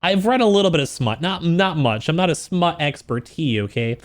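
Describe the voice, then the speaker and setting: A man with a high voice talks like a casual livestreamer reacting to things. His pitch moves around a great deal, giving him a very animated sound. He is slangy and joking, with an incredulous edge. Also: normal speed. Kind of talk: casual livestream reaction with book read-outs.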